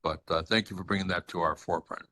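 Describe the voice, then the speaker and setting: A man's voice: low voice, fairly even intonation, quick pace, pushed and bright. A man from Hawaii speaking as a neighborhood board member at a public meeting, courteous and explanatory, offering help. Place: community meeting room.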